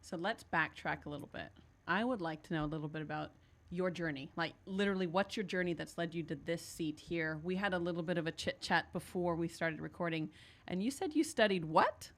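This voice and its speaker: A woman hosts a relaxed podcast chat with a playful, enthusiastic manner and fills the gaps with encouraging yeahs. Her voice sits on the low side for a woman. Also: brisk. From not from New Zealand. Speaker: podcast host. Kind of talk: casual interview chat.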